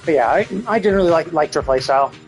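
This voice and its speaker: A man's voice: a little high, lively intonation, rapid-fire, rough recording. A man streaming a game, chatting casually and rambling as he thinks aloud. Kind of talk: casual live gameplay commentary. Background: game music in background.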